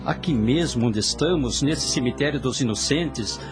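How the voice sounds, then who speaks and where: A man's voice: mid-range voice, normal speed, natural voice. An actor playing an eager, lovesick young nobleman in a radio soap, theatrical, formal and exclamatory. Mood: elated. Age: young adult. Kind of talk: radio soap opera acting. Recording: music under the voice.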